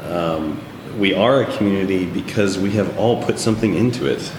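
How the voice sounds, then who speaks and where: A man from Texas with a very deep voice speaks earnestly in a casual group discussion. The sound is roomy with a long hall reverb. Loud ambient noise and air conditioning sit behind him. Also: moderate pace. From Texas, USA. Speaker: artist, guest.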